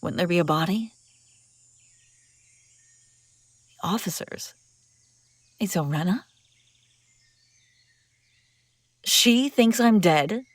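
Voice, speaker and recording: A woman's voice: lowish voice, lively intonation, unhurried, no echo. A woman playing a commanding, wry escaped princess in an audio roleplay, speaking dramatically and expressively straight to the listener. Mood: stunned, questioning. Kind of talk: immersive audio roleplay acting, one side of a dialogue.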